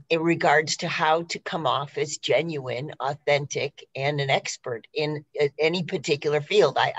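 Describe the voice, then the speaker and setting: A woman's voice: deep voice, low-quality recording. Woman hosting a conversational panel. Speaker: host. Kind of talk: conversational interviewing.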